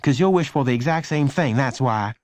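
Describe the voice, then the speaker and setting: Man playing a huffy high-voiced older sister. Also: animated, fairly quick, darkish tone, no room sound, lightly acted. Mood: snippy. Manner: indignant, snippy. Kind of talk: children's audiobook character voice.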